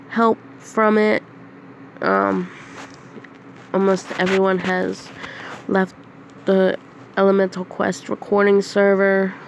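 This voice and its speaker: A woman with a head cold, sounding nasal and hoarse, talking to her channel's viewers in a slow, halting casual announcement. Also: mid-range voice, slight background noise, rough recording. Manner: slow, halting, with frequent throat clearing and coughs. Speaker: channel host. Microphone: phone screen recorder.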